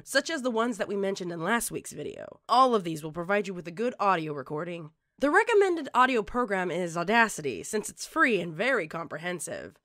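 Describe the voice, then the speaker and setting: A woman reading scripted tutorial narration in a measured, instructional way, like an online narrator presenting tips. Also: medium pitch, a bit bright, no echo, studio quality.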